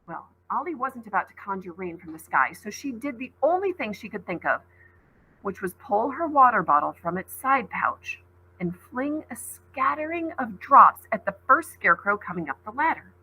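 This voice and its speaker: Female read-aloud teacher: dramatic, emphatic storytelling, bright forceful voice with a slightly raspy edge. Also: on the high side, sing-song, moderate pace, poor audio. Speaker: teacher reading aloud to students.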